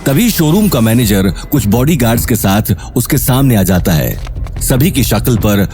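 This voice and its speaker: एक आदमी ऑडियो कहानी को नाटकीय अंदाज़ में, लिखी हुई पटकथा से सुना रहा है।. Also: male voice, medium pitch, sing-song, normal speed, a little mellow, faint music behind. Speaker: narrator. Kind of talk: dramatic scripted story narration.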